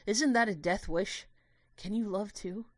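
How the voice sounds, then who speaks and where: A woman playing a lovestruck, guilt-ridden young student, earnestly narrating an audio drama in the first person with expressive storytelling. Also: medium pitch, measured pace, dry recording, close to an everyday voice. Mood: concerned.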